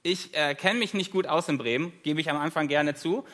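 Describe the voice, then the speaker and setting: A man's voice: a little high, brisk, a bit bright. German man preaching conversationally. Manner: conversational, self-deprecating humor. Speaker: guest preacher. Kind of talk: sermon.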